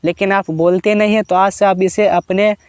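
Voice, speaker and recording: A man with an Indian accent teaching basic spoken English in Hindi, slowing right down and repeating each model sentence twice. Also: high voice, little pitch movement, smooth, no echo.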